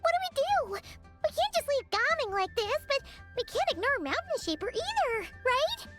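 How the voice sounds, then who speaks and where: A tiny fairy girl for an anime-style game. The voice is extremely high and swoops about, chirpy and exclamatory, with music playing behind it. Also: sing-song, normal speed, a bit bright, full character voice. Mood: worried. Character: tiny excitable floating fairy girl companion. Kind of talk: animated game voice acting.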